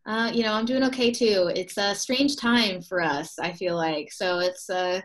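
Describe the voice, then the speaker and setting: A woman's voice: medium pitch, measured pace. A young woman hosting a casual video call interview, upbeat and chatty, often laughing between thoughts. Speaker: host, expo co-owner.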